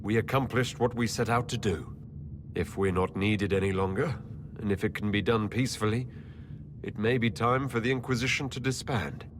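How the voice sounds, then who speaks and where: Composed, lowish-voiced elven leader, played by a man. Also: moderate pace, noisy background, natural voice. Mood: calm, earnest. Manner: polite, earnest, composed. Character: courteous young elven male leader of an Inquisition. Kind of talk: video game voice acting.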